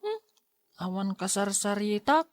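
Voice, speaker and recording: A woman's voice: medium pitch, sing-song, unhurried, dry recording. Sulky little Ilocano boy, acted by a woman. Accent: Ilocano Filipino. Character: young schoolboy son, sulky, missing his parents. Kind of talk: Ilocano audio drama acting.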